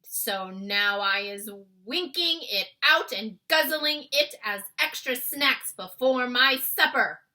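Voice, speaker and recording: A woman voicing a huge, gruesome male giant from a children's storybook, a loud bully who booms out garbled insults. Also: lively intonation, takes it slowly, full character voice. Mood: suspicious, menacing. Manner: booming, shouting insults in garbled ungrammatical English.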